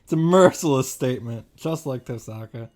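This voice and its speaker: A man's voice: medium pitch, expressive, moderate pace, darkish tone. A man hosting a reaction series, giving an informal, wry recap with running commentary. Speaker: host of visual novel reaction series. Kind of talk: casual recap narration with commentary. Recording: music behind the voice.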